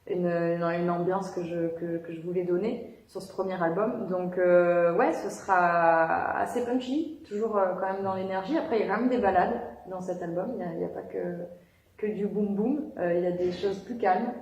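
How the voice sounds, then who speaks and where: A woman's voice: fairly low pitch, moderate pace, a little mellow. A young woman singer answering interview questions in a casual, hesitant way, with many fillers and restarts. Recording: echoey room.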